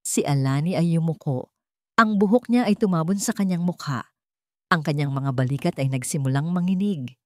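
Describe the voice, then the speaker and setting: Low-voiced woman narrating a drama, dry sound. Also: a little mellow, no room sound. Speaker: story narrator. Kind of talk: dramatic scripted story narration.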